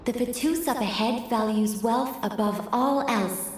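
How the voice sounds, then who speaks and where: A composed female guide from a video game, voiced by a woman. Her measured, formal, slightly high voice rings with heavy echo and long reverb. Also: a little hiss or hum, close to an everyday voice. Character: composed, knowing female guide giving mission briefings. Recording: very echoey, long reverb, faint ambience.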